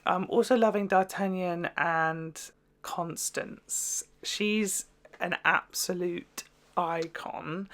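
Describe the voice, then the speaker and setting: A woman's voice: fairly low pitch, fairly even intonation, slow, a bit bright. A young woman hosting a reaction video, giving a casual spoken review in a chatty, rambling way full of fillers.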